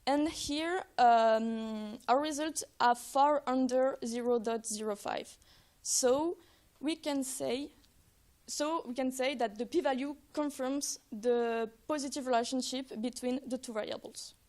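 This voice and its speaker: A woman's voice: sits high, unhurried, darkish tone. A young woman, a student presenter, summarizing research results in a hesitant manner with simple non-native English phrasing. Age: young adult.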